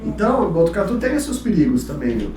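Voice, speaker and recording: Relaxed guy in his thirties, slightly high voice, chatty and jokey; mic distant in a very echoey room. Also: a little fast, a little hiss or hum. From São Paulo, Brazil. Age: 30s. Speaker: guest speaker, communication coach. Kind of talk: casual storytelling interview.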